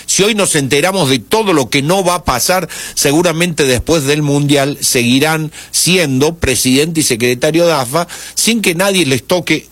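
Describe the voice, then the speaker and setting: Male radio commentator, Argentine accent, slightly high voice, close dry sound, rhetorical and pointed. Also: a bit bright, no echo. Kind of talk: opinion commentary, conversational. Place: radio studio.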